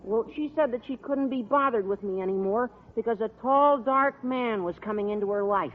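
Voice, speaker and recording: An actor playing an earnest, long-winded, lovesick high school boy with a whiny delivery in a vintage radio sitcom. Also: moderate pace, slight background noise. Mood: aggrieved. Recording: tinny vintage radio broadcast.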